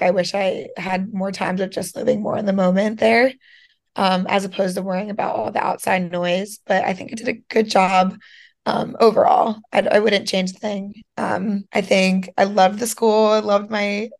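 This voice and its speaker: Slightly hoarse young woman, chatty. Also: mid-range voice. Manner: chatty, lots of "like" and "you know" fillers. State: slightly hoarse, losing her voice. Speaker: guest, professional softball player. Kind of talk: casual interview chat.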